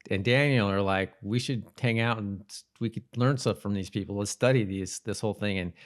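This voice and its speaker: Guy podcast co-host, lowish voice, just chatting loosely and casually. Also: brisk. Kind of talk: casual chat about a TV episode.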